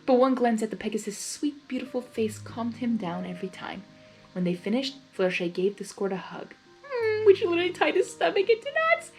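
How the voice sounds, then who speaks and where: A woman reading fan fiction aloud as expressive narration, with casual chatty asides. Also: on the high side, fairly quick, faint music behind.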